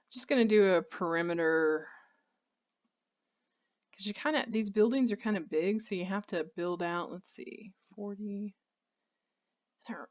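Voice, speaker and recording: A woman's voice: mid-range voice, gravelly, lo-fi sound. A woman giving casual let's play commentary on a video game, rambling and thinking aloud as she plays.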